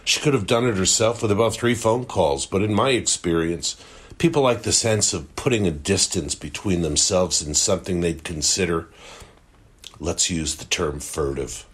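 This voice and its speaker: A man's voice: deep voice, normal speed, husky. An actor playing a world-weary male private investigator, talking in a dry, musing, conversational way in an audio drama. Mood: wry, reflective. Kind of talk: audio drama interview testimony.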